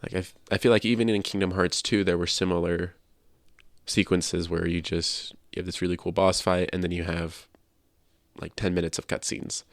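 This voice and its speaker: Low, gravelly man in casual discussion. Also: level tone, moderate pace, no echo, studio quality. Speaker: podcast co-host. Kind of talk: casual video game discussion.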